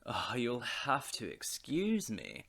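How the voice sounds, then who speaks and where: An actor playing a smug, menacing crime boss in an audio drama, drawling and mock-polite. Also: male voice, medium pitch, wildly swooping pitch, takes it slowly, creaky, fairly bright tone, slightly rough, exaggerated voice.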